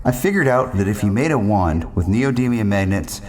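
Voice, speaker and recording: A man's voice: on the low side, expressive, brisk, a little mellow. A man narrating a first-person story in a wordy, ornate and rambling manner.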